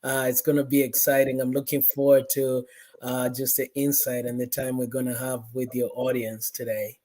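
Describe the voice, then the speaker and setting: Earnest man, fairly even intonation. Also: medium pitch, moderate pace. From Kenya. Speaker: guest, pastor and life coach. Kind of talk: earnest personal testimony in an interview.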